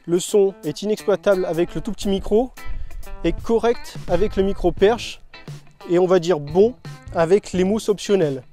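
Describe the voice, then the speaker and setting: High-pitched man reviewing gear, soft-toned. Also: moderate pace, soft background music. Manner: informal, conversational. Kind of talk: casual product review narration and riding chat.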